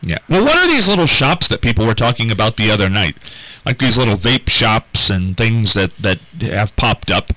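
A man with a low, deep voice hosts a call-in talk radio show, chatting easily and taking calls. The sound is dry and close, recorded in a small carpeted studio room. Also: normal speed, dry recording, poor audio. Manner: relaxed, conversational, takes calls.

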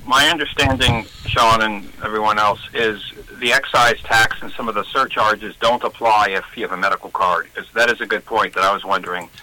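A man calls in to a talk radio show with a low, very sharp and bright tone. He rambles hesitantly, restarting his sentences as he works through his point. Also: fairly even intonation, a little fast, hardly any pauses, poor audio. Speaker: caller. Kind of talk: call-in radio comment.

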